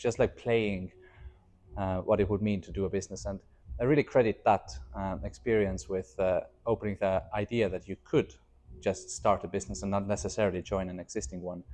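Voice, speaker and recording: Founder guest, male, 30s, soft dark timbre, measured and hesitant interview delivery. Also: fairly low pitch. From Estonia. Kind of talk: reflective interview answers.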